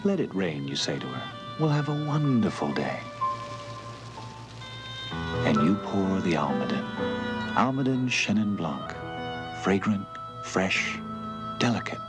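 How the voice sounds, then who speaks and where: A man voicing an old wine commercial in a smooth, intimate announcer delivery. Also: sits low, big pitch swings, unhurried, pauses often. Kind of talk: vintage wine commercial voice-over. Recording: old TV ad audio with music.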